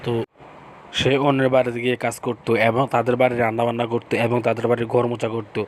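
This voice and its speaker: Male storyteller, medium pitch, noisy ambience. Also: monotone, quick pace. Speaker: storyteller, narrator. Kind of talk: narration of a children's-style cartoon folk tale.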